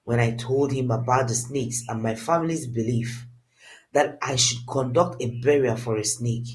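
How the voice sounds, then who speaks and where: A narrator telling a scripted first-person story in a dramatic, deliberate and expressive way. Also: mid-range voice, rough recording.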